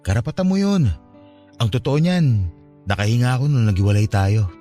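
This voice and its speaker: A man's voice: mid-range voice, animated, normal speed, mellow, natural voice. Male actor as young former boyfriend, sincere and reflective, wounded yet hopeful, radio drama with faint music underneath. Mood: earnest, regretful. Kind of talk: Filipino radio drama dialogue.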